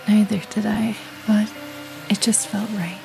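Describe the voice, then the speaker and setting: A woman playing a shaken, affectionate rescue survivor in a sci-fi audio drama, breathy, tentative and intimate. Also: medium pitch, level tone, takes it slowly. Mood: tender. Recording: music bed under the voice. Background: dramatic music.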